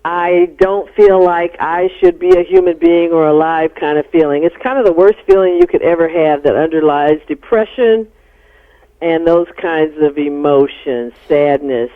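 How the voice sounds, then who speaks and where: A woman's voice: low-pitched, animated, normal speed. A middle-aged American woman explaining things as a psychologist guest in a radio interview. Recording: narrow-band phone-like radio sound.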